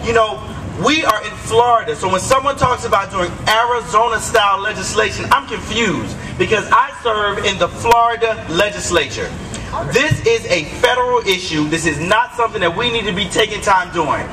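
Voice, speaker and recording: A man's voice: high voice, normal speed. A man speaking as a state senator at a protest rally, rousing the crowd and calling out to it. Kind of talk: rally speech. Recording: distant mic with loud outdoor noise.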